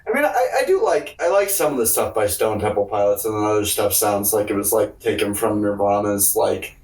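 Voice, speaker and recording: A man co-hosting a podcast in casual, comedic chat, rambling and haltingly searching for words, with plenty of swearing. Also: lowish voice, animated, darkish tone. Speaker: podcast co-host. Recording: roomy, echoey, distant mic.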